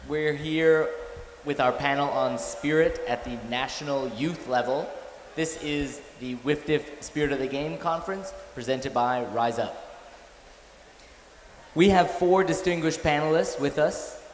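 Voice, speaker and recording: A man moderating a conference panel, introducing guests in a measured, formal way with some fillers. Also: on the high side. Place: conference hall. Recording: echoey hall with faint noise.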